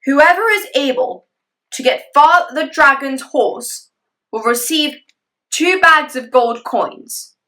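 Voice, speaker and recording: A woman voicing a demanding, stubborn male king in a storybook tale, speaking loudly and firmly. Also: high voice, animated, slow, voice pushed up, heavily acted. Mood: proclaiming.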